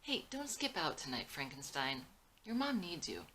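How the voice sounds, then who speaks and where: A woman's voice: low voice, sing-song, moderate pace, pushed and bright, slight background noise, exaggerated voice. A woman voicing a blunt, teasing seventeen-year-old boy in a dramatic script reading. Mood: exasperated, pleading.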